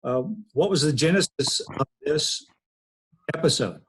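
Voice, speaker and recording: A man's voice: medium pitch, expressive, a little slow, husky. A man in his sixties casually moderating a conversation on a video call from a home study. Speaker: narrator and moderator. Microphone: video call device microphone. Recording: noisy video call with clicks.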